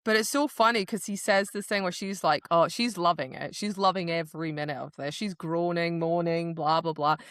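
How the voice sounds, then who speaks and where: A woman's voice: lowish voice, moderate pace, hardly any pauses, smooth, no echo, clean studio sound. A woman co-hosting a podcast, casually recapping a fantasy romance novel with giggles, swearing and acted-out quotes. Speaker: podcast co-host.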